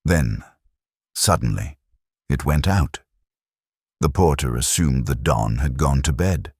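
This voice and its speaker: A man narrating a scripted first-person detective story in a measured, reflective, literary manner. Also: very deep voice, lively intonation, darkish tone, slightly rough, no echo, studio quality.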